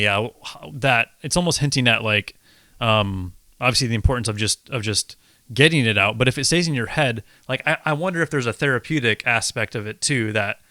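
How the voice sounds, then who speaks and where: A man co-hosting a podcast in casual chat, earnest and halting as he thinks aloud. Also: lowish voice, bright, forceful tone, dry recording, clean studio sound. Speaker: podcast co-host.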